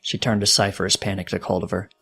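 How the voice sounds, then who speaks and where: A man reading fiction aloud as a dramatic, solemn narrator with a deliberate delivery. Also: low voice, flat delivery, gravelly, pushed and bright, breathy edge, faint music behind. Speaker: fanfiction narrator.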